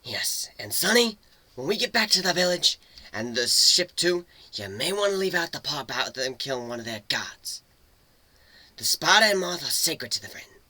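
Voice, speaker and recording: A man's voice: medium pitch, sharp tone. A man voicing a bossy, streetwise female cat guide in a fantasy storybook reading. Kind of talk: dramatic storybook character reading.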